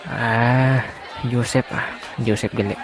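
A man playing a rambling, easygoing villager in a comic audio drama, halting and repeating himself, with a Hiligaynon accent. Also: medium pitch, steady tone. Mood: bumbling, jovial. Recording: noisy, with clear crowd ambience.

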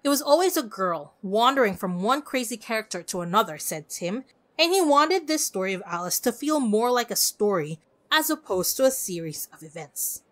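A woman podcast host giving conversational scripted film commentary in an expressive, effusive way. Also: a little high, moderate pace.

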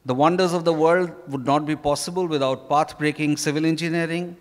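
A middle-aged man with an Indian accent, speaking deliberately and haltingly as an interviewed author reading prepared remarks on stage. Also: fairly high pitch, fairly even intonation. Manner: slow, deliberate, halting delivery. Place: hotel ballroom stage. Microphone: handheld microphone. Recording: echoey ballroom with long reverb.

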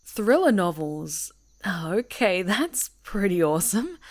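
A friendly young woman camping out alone on a retreat, as a woman plays her in an audio roleplay, chatty and teasing, laughing easily as she talks. Her voice sits a little low for a woman, with faint outdoor noise behind it. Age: young adult. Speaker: ASMR roleplay performer.

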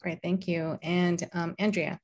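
A woman's voice: on the low side, level tone, unhurried, darkish tone. A woman moderating a panel discussion over a video call, speaking hesitantly with fillers. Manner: hesitant, with many fillers and restarts.

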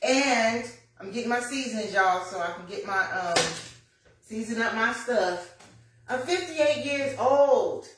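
A woman in her fifties chatting to viewers on a livestream while cooking in her home kitchen, lively and preachy, calling out to them as y'all. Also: on the high side, takes it slowly, a little hiss or hum. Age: 50s. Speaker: lifestyle vlogger. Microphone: phone camera at arm's length. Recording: roomy kitchen echo.

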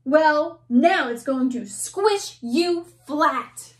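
A woman's voice: high-pitched, lively intonation, full character voice. A woman voicing a taunting storybook boy. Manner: taunting, slow rhyming delivery. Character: boastful young boy with a raised shoe.

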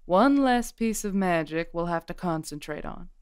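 Smooth, genteel witch leader played by a woman. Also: fairly low pitch, animated, normal speed, no echo, clean studio sound, natural voice. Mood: composed, commanding. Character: genteel, composed witch leader, politely commanding. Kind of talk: audio drama acting.

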